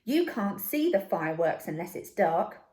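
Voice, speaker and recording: A woman voicing an excited, friendly little boy in a children's storybook, exclamatory and enthusiastic. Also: mid-range voice, moderate pace, lightly acted.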